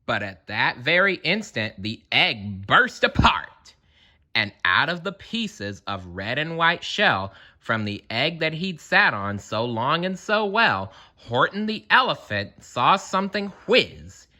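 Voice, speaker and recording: Male children's storyteller: slow, very lively intonation, expressive, dramatic emphasis. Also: medium pitch. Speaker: storybook reader. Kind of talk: expressive children's read-aloud narration with asides.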